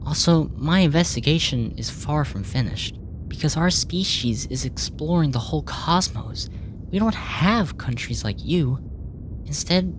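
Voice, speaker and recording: Really deep female voice, woman playing a formal curious alien scientist in asmr roleplay, measured delivery, noisy rumbly recording. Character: polite, clinical alien researcher fascinated by humans. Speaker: audio roleplay voice actor. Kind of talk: ASMR sci-fi roleplay, in character.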